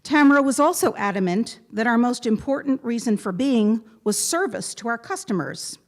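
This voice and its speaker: A woman giving a prepared memorial tribute to a gathering, speaking in measured, deliberate phrases with pointed pauses. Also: on the high side, animated. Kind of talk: prepared eulogy read aloud to a gathering.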